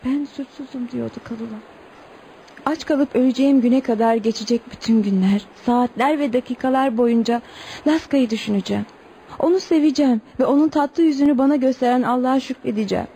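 A woman's voice: high voice, fairly quick, mellow, slight background noise, rough recording. An actress playing a sorrowful young Eskimo woman in a radio play, with plaintive, emotive delivery. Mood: sorrowful recounting. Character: sorrowful twenty-year-old Eskimo woman, pleading and recounting lost love. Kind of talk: radio theatre acting.

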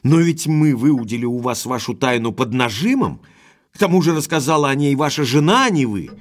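A man plays a frank, indignant gentleman of title in an audio drama. His middle-pitched voice moves in lively swings as he argues bluntly, like the master of the house. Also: fairly quick, lightly acted. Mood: reproachful, firm. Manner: blunt, argumentative, expressive.

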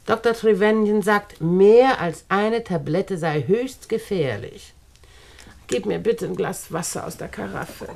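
An actress playing a wealthy, fussy, condescending older aunt in a radio play, speaking in a bossy, sweetly patronising way. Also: female voice, medium pitch, a little slow, vocal fry, darkish tone, a little hiss or hum. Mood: self-satisfied, composed. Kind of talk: German radio play acting.

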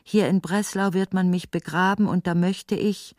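An actress plays a middle-aged woman locked in a cell, in an intimate radio drama. Her voice sits fairly low, with a very dry studio sound. She speaks with theatrical tenderness and wry self-mockery. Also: fairly even intonation, moderate pace, a little mellow, no echo, lightly acted. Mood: tender. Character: imprisoned ailing woman of forty-eight, tender, self-mocking, longing. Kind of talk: intimate radio play acting.

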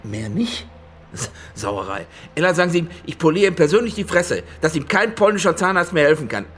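Blustering boss with swinging pitch. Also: male voice, big pitch swings, brisk, husky. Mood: angry. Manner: brusque, bossy. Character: blustering, short-tempered male stadium boss, crudely bossy. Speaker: stadium manager. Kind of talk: radio crime drama dialogue.

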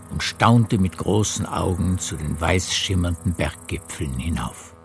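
A man narrating a literary radio play in a measured storytelling manner. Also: deep voice, gravelly, a little mellow.

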